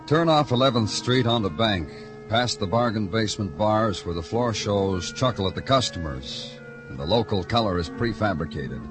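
Actor as a gruff, brooding noir cop narrating, lowish voice, faint music. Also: male voice, animated, moderate pace, lightly acted. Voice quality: clipped, terse, brooding. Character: hard-boiled male police detective narrator. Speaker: detective and narrator. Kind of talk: 1950s radio noir narration and acting.

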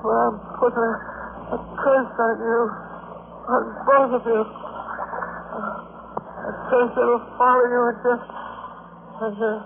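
An actor playing a dying, bitter shipwrecked sailor in a radio drama, weak and halting, gasping out broken phrases. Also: male voice, extremely high pitch, flat delivery, creaky, phone-quality sound, exaggerated voice. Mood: bitter, accusing. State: weak, short of breath. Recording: noisy, band-limited old radio recording.

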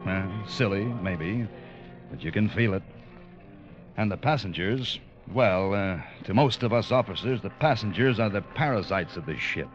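An actor playing a stiff, dutiful first officer of an ocean liner in a 1940s radio drama, earnest and formal. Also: male voice, lowish voice, expressive, normal speed, natural voice. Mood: reflective, earnest. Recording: muffled old radio broadcast, music behind.

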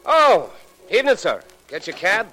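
Bright, excitable chatty doorman. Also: high-pitched, normal speed, full character voice, low-quality recording. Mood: chatty, friendly. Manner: folksy, stammering, repeats himself. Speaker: actor in a radio drama. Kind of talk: 1940s radio acting. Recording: old radio recording, music and street bustle.

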